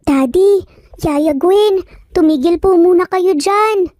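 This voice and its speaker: A woman's voice: very high voice, slow pace, mellow, no room sound, studio quality, pitched above the performer's own voice. A woman voicing a stubborn, cute little boy in a dramatized story, speaking in an insistent, scolding but polite childlike way. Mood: complaining.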